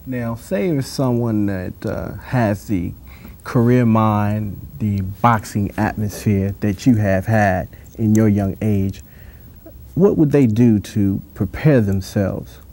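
A man hosting a TV interview show in a studio, asking questions in a measured, drawn-out way. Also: fairly low pitch, dark tone. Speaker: TV talk show host. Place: TV studio set.

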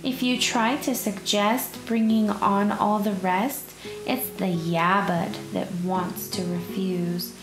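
Slow-paced woman reading a children's storybook. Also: mid-range voice. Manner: expressive, measured storytelling. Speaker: storybook narrator. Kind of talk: children's picture book read aloud, rhyming. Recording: roomy echo, music behind. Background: plucked guitar music.

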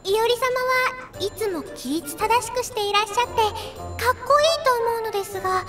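A woman's voice: very high voice, brisk, over loud music. A woman playing a polite, earnest young girl idol, hesitant and deferential, in an anime audio drama. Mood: shy, admiring.

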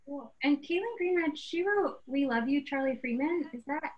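Young woman, high-pitched voice, casual interviewer, online book club moderator. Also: moderate pace, lo-fi sound. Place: indoor room, on a video call. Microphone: computer mic on a video call.

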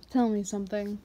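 A woman's voice: medium pitch, dark tone, poor audio. A woman voicing a confident female boss in an ASMR roleplay, teasing her employee in a controlled, coy manner.

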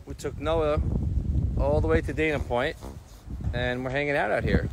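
A man's voice: fairly high pitch, steady tone, normal speed. A man in his thirties chatting casually as a family vlogger, to the camera and to a pet. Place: mostly outdoors at a marina and patio, later garage and home. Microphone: camera held at arm's length. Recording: clear background noise, handheld camera outdoors.

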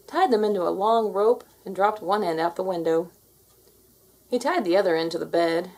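Gravelly woman reading a children's storybook. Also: medium pitch, a little mellow. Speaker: storybook reader. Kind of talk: expressive scripted read-aloud for children.

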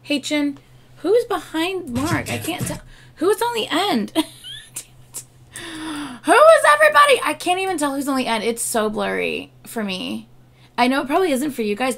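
A woman in her thirties, hoarse from losing her voice, chatting excitedly in a casual reaction vlog at her desk at home. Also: high voice, normal speed. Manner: excitable, chatty, gushing with laughs and exclamations. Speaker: K-pop reaction YouTuber. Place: home room with shelves of albums and collectibles, indoors. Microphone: webcam framing at desk.

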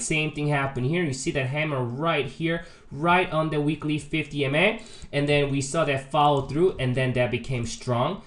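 A man's voice: a little high, a bit bright. A man giving informal, emphatic market chart commentary with rhetorical check-in questions, his voice slightly congested from a sore throat and sinus trouble. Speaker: market analyst and host of a trading update. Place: voice-over on a screen recording.

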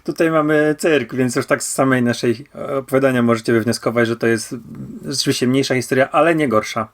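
A man's voice: mid-range voice, steady tone, a little fast. A man co-hosting a podcast, chatting through a review with frequent stammered restarts and repeated words for emphasis. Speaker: podcast host. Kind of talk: casual review discussion.

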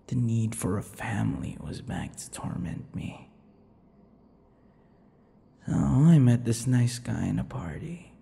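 A man's voice: medium pitch, dark tone, big-room sound, slight background noise, exaggerated voice. A man playing an obsessive, vain, sadistic vampire lover in an intimate ASMR roleplay, drawing out words and slipping into whispers. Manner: slow, breathy whispers and giggling, drawn-out words. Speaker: roleplay voice actor.

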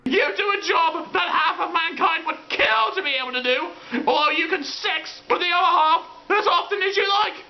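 A woman playing an excitable, indignant comic sidekick, melodramatic, exasperated and prone to shouting. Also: very high-pitched, a little slow. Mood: furious. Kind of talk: scripted comedic reaction. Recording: dull muffled microphone, clear background noise.

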